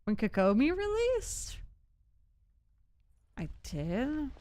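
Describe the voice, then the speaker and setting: A woman's voice: sits high, huge pitch swings, extremely slow, darkish tone, slight background noise. A woman chatting casually and thinking aloud as she livestreams a game. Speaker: game streamer. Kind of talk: casual livestream gaming chat. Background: game music.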